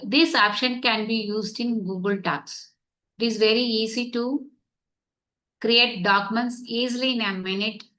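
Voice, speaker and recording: A woman's voice: a little high, steady tone, unhurried, bright, forceful tone, rough recording. Online class teacher, woman, native Tamil speaker; step-by-step explainer who keeps checking students are following. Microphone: computer mic on video call.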